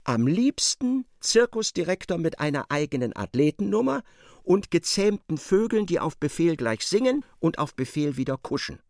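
A man giving expressive literary narration in a radio drama, as a storyteller. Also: a little high, normal speed, no room sound, clean studio sound.

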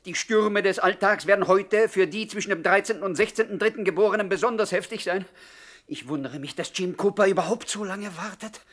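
An actor playing a timid, superstitious gangster underling, whiny and jittery, in a 1960s German radio comedy. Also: male voice, high-pitched, fairly quick, a bit bright. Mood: lamenting.

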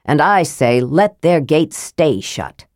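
A woman's voice: low-pitched, very animated, takes it slowly, a little mellow, no room sound, clean studio sound, exaggerated voice. A woman voicing a stubborn old male dwarf who speaks curtly and dourly. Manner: dour, curt.

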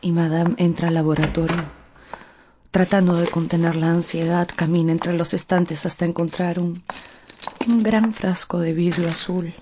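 A woman's voice: on the low side, little pitch movement, normal speed, mellow, a little hiss or hum. A woman narrator reading a scripted radio serial in a solemn, suspenseful, dramatic way.

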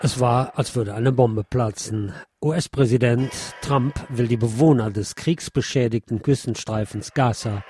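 A man's voice: medium pitch, measured pace, noisy background. A man hosting a radio programme, commenting on the news and reading press reports aloud. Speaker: radio host.

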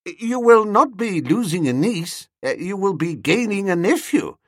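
Casting: male reader as foppish young suitor, airy, cheerfully dim, lightly high, very animated, comic storybook. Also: measured pace, no room sound, studio quality, exaggerated voice. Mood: breezy. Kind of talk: comic audiobook character.

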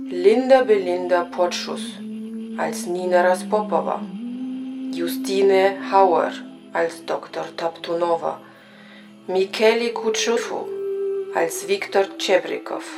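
Woman announcer, lowish pitch, slow formal delivery, scripted credits, clear music bed. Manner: measured, formal. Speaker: announcer reading radio drama credits.

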